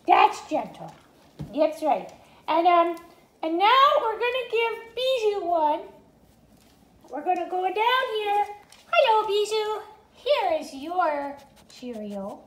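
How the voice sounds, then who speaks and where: A woman's voice: extremely high pitch, reverberant. A woman voicing her young female pet dog as a cheerful, rambling little host of a home news vlog, talking in a childlike sing-song way. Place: home kitchen.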